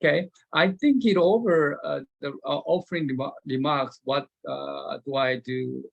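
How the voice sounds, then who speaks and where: Korean-accented male panelist, slightly high, gravelly voice, slow halting slide talk. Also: fairly even intonation, muffled recording. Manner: hesitant, many fillers and restarts, broken phrasing. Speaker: webinar panelist presenting slides. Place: remote, speaking from his location.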